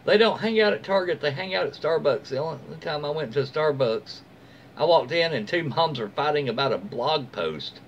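A man's voice: fairly high pitch, animated, normal speed. An American man in his forties reads stories aloud in a casual, folksy way, adding his own asides. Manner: folksy, informal. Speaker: host reading online stories aloud. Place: small room at home, indoors. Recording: faint room noise behind voice.

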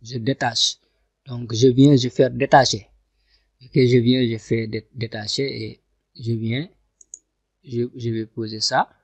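Gravelly man talking through software steps. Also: medium pitch, level tone, takes it slowly, long pauses, no room sound. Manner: talks through each click step by step, often repeating himself. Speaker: software instructor. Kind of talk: screen-recorded software tutorial. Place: at a computer, voice over screen capture.

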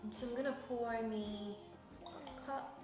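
A woman's voice: on the high side, slow pace. A woman in her twenties from Ghana chatting casually to her viewers as a vlogger, in an affectionate, talkative manner. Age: 20s. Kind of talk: casual chat to camera. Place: rooms at home, indoors. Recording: echoey rooms at home, music bed.

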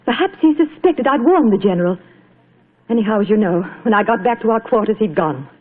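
A woman's voice: medium pitch, expressive, fairly quick, close to an everyday voice. A woman playing a troubled, dignified wife in an earnest, melodramatic old radio drama style. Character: anguished, dignified wife of a traitor. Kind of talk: 1940s radio acting. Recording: dull vintage radio broadcast.

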